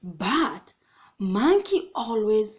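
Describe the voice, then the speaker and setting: A woman reading a children's book aloud in an expressive, animated storytelling manner, pausing so a child can join in. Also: medium pitch.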